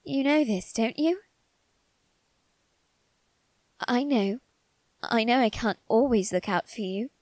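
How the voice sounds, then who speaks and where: A woman voicing a doting, secretive young male vampire aristocrat in a soft, intimate ASMR roleplay, with refined, coaxing phrasing. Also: fairly high pitch, animated, no echo. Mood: tender, reassuring. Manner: coaxing, gentle, unhurried, refined phrasing with tag questions. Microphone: close, dry microphone.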